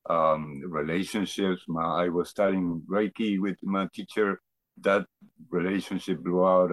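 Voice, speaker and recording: Deep-voiced man from Argentina, halting and reflective. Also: dry recording, rough recording. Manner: halting, reflective, searching for words. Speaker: interview guest. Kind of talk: personal testimony in conversation.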